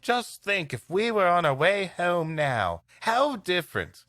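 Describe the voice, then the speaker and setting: Man playing a plaintive, high-pitched young schoolboy. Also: sing-song, a little slow, a bit bright, no echo, clean studio sound, exaggerated voice. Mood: miserable, disappointed. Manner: plaintive, sobbing. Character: tearful, gloomy young English schoolboy, sorry for himself.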